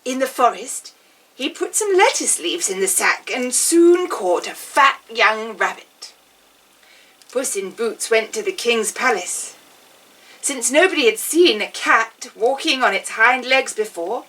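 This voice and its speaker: A woman narrates a fairy tale in a theatrical storyteller's voice, highly animated and unhurried. Her tone is bright, and she sounds a little away from the microphone. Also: far from the mic, low-quality recording. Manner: theatrical, measured. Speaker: storyteller playing an amusing witch.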